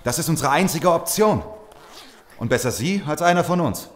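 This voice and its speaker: Actor as determined male survivor, very animated. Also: on the high side, moderate pace, natural voice. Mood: distrustful. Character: determined, distrustful male survivor, the group's planner. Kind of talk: German audio drama acting.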